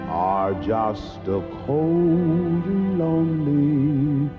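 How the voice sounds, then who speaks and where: Male ballad singer. High, drawn-out crooning with prominent backing music. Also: expressive. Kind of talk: slow crooned ballad singing. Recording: muffled music recording. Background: music accompaniment.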